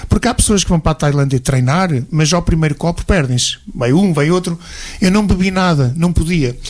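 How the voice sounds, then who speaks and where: A man's voice: fairly high pitch, animated, a little fast, darkish tone. A man with a European Portuguese accent telling travel anecdotes in detail as an interview guest. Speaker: interview guest, martial arts instructor. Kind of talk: conversational travel storytelling.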